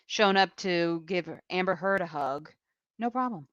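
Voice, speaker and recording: A woman co-host with a somewhat low voice who chimes in with short, agreeing remarks. Her sound is dull and lo-fi, with a dry, close feel. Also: expressive, a little slow, fairly bright tone, dry recording. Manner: brief agreeing interjections. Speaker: podcast co-host. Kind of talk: casual chat.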